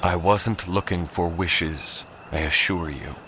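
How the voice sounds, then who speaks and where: A man's voice: low-pitched, fairly even intonation, slow, noisy background. A man playing a proud, brooding vampire lord in a video game, formal and courtly with a dry, sardonic manner. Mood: courteous. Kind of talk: scripted video game dialogue.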